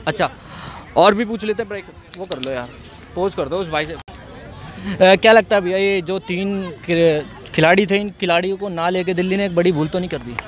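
Young male street interviewer, high voice, outdoor ground. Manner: quick prompting questions with fillers. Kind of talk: vox pop interview. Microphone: handheld mic.

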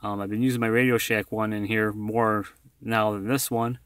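A low, clear-voiced American man chats casually in a close, dry recording. His delivery is bright and forward, and he rambles along modestly as he shows off his equipment. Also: little pitch movement, normal speed, dry recording. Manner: rambling, self-deprecating. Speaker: vlogger, former truck driver. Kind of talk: casual product showcase vlog. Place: inside a parked vehicle, later outdoors beside it.

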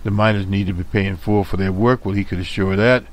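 Very low-voiced man reading news, halting. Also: steady tone. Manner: halting, many "uh" fillers and self-corrections; editorializing asides. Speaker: radio host reading news. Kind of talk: reading news reports aloud with commentary.

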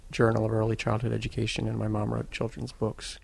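A man from the United States answering interview questions in measured, thoughtful sentences as a filmmaker guest. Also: on the low side, monotone, vocal fry, darkish tone, slight background noise.

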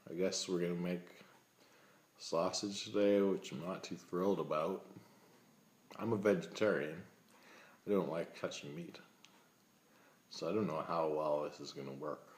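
A man's voice: deep voice, a little mellow. A man in his thirties playing a naive, milk-loving vegetarian in a comedic webcam monologue, rambling earnestly and awkwardly at a table indoors. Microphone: laptop webcam, close. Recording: echoey room sound.

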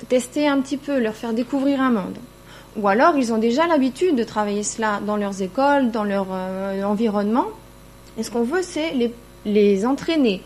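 A woman's voice: on the high side, a little mellow, a little hiss or hum. A middle-aged woman lecturer giving an explanatory conference presentation, seated at a table microphone in an indoor room.

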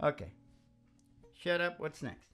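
A man's voice: fairly high pitch, big pitch swings, a little slow, a bit bright, clear, resonant tone. An older man, a musician hosting a show, rambling casually between songs in an irreverent, folksy manner. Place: small indoor room with wood-panelled wall. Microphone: microphone on a stand. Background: acoustic guitar.

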